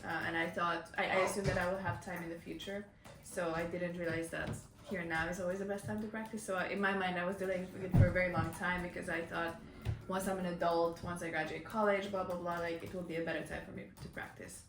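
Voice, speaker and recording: A woman's voice: lowish voice, flat delivery, fast talker, over music. A woman in her thirties, from Hungary and raised in Finland, answering interview questions thoughtfully with frequent ums. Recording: distant mic in echoey room with noise.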